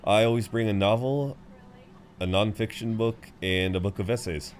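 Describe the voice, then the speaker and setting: A man's voice: on the low side, moderate pace, slight background noise, lightly acted. A man playing a chatty, good-humoured frequent flyer who rambles kindly to a seatmate, in an intimate roleplay audio. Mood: friendly, rambling. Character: chatty, friendly tall male frequent flyer comforting seatmate. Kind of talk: intimate roleplay audio acting, one side of conversation.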